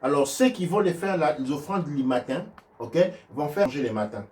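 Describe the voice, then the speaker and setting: Halting man teaching, mic set back. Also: fairly high pitch, lively intonation, far from the mic. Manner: halting, repeats words and restarts, swings from loud to soft. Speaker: spiritual teacher. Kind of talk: religious teaching in a live stream.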